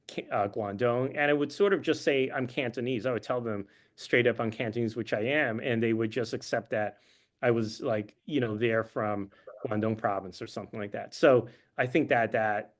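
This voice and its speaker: Measured male author fielding questions. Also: mid-range voice. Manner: measured, thoughtful, with frequent ums. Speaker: author and journalist, guest speaker. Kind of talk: answering audience questions at a book talk.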